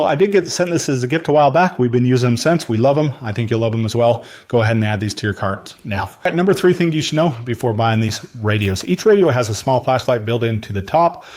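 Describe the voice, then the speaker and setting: A man's voice: medium pitch, animated, fast talker, darkish tone. A man delivering a scripted product review countdown in a salesy manner, speaking directly to the viewer.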